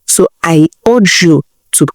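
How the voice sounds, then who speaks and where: A woman's voice: fairly low pitch, takes it slowly, no room sound, clean studio sound. A woman storyteller voicing a fed-up, resolute husband, stern and reproachful, with a Nigerian accent. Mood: bitter, reproachful.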